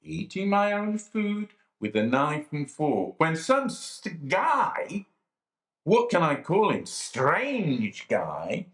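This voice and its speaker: An actor playing a sly, self-pitying jailed man who swings between hushed confiding and sudden outbursts. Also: fairly high pitch, huge pitch swings, takes it slowly, full character voice. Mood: indignant. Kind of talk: dramatic monologue to a visitor. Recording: echoey room.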